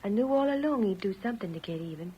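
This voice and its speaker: A woman playing a gentle, steadfast pioneer wife, speaking softly and earnestly in an old-time radio western. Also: mid-range voice, expressive, rapid-fire, lightly acted. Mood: gentle, resigned. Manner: soft, earnest, dramatic. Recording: muffled, hissy vintage radio recording.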